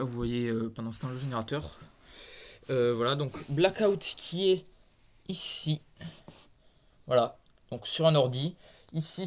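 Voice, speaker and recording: Stuffy-voiced man giving a halting show-and-tell. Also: fairly high pitch. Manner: halting, filler-heavy, points things out one by one. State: stuffy from a head cold. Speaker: hobbyist presenting his toy collection. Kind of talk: casual show-and-tell narration. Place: converted attic room with creaky floor. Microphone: phone camera held in hand.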